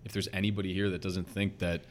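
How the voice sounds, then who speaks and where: A young man with a slight Chicago accent, a basketball head coach talking earnestly and quickly in a casual podcast interview, with lots of fillers. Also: low-pitched, little pitch movement, a little hiss or hum. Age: young adult. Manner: earnest, talks fast with many fillers.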